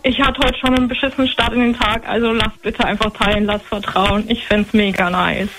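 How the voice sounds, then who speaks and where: A woman's voice: a little high, little pitch movement, a little fast. Young woman calling in through heavy noise. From Berlin, Germany. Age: young adult. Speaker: game show contestant. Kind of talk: casual phone-in chat. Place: kitchen. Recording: very noisy call-in line with clicks.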